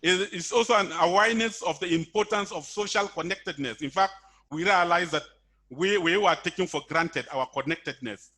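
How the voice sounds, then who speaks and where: A man with a bright, forceful voice sits a little high in pitch. He speaks as a presenter, delivering prepared points over an online call. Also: moderate pace. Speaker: guest speaker, webinar presenter. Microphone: video call.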